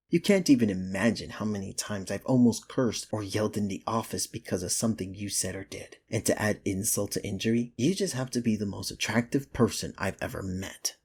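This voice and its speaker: A man playing a teasing, flustered office rival smitten with the listener, in an intimate ASMR romance roleplay with a husky, gravelly voice. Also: fairly low pitch, a little mellow.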